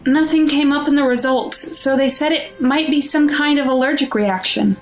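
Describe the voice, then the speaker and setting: A woman's voice: high voice, normal speed, soft background music, natural voice. A woman playing a protective, distressed mother in an audio drama, defensive and anxious about her child. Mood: concerned.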